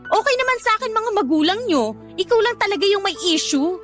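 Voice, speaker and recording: A very high, bright female voice plays a vain, whiny young girlfriend who loves to bicker. She is sassy and theatrical in radio drama style, with music underneath. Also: lively intonation, a little fast. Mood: defensive, bickering. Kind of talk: Tagalog radio drama acting.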